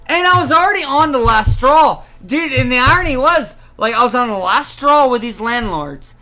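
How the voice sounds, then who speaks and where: A man in his thirties with a sharp, bright voice, rambling through personal stories in casual chat. His pitch swings about freely, and he swears as he goes. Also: normal speed. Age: 30s. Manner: rambling, animated, profane.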